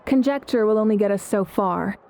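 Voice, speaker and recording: An actress playing a calm, resolute female leader in a video game, composed and authoritative. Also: mid-range voice, fairly quick, lightly acted. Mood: firm, resolute. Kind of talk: English video game character acting.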